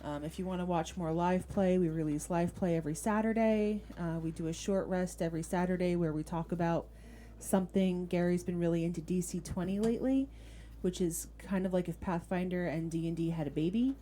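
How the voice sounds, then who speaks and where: Low, mellow woman chatting casually. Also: brisk, a little hiss or hum. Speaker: tabletop game master promoting own shows. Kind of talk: casual chat.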